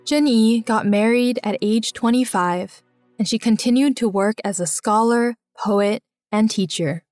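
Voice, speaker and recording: A woman reading a scripted biographical story for children in a measured, clearly enunciated storytelling way. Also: fairly high pitch, a little mellow, no echo, soft background music. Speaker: narrator of a children's biography podcast.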